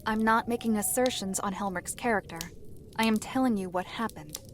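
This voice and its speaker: An actress playing a formal, matter-of-fact storyteller who recounts a dark fantasy history in a measured way. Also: female voice, mid-range voice, slight background noise, close to an everyday voice. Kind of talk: scripted fantasy narration. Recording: faint music behind the voice. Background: music.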